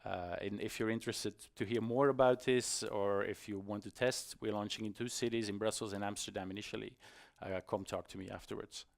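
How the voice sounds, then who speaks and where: A middle-aged man with a fairly low voice speaks as a conference presenter. His delivery is informal and conversational, with a self-deprecating manner and hesitant phrasing. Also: steady tone, a little fast, gravelly. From Belgium. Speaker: conference speaker, iOS developer. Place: conference stage in a hall.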